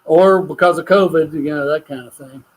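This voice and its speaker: A man's voice: a little high, fairly even intonation, fairly quick, low-quality recording. An American man with a self-described hillbilly twang, co-hosting an easygoing livestream chat. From United States. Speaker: co-host of a livestream.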